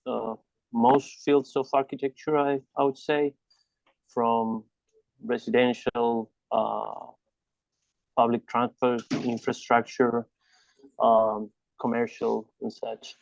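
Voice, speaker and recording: A man from Chile introduces himself over a video call, his voice gravelly and dry. He talks haltingly, restarting phrases often. The audio is dull and lo-fi. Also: mid-range voice, flat delivery, dry recording. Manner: hesitant, many restarts and fillers. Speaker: lighting design firm partner.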